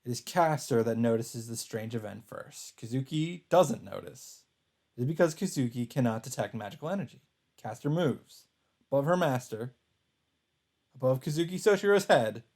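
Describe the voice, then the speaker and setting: A man's voice: mid-range voice, expressive, normal speed, darkish tone. A man hosting a let's play, reading visual novel narration aloud. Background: game music.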